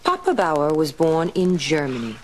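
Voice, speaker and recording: An actress playing an intent radio serial writer who dictates stories dramatically. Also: female voice, low-pitched, sing-song, normal speed, a little mellow. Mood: intent, storytelling.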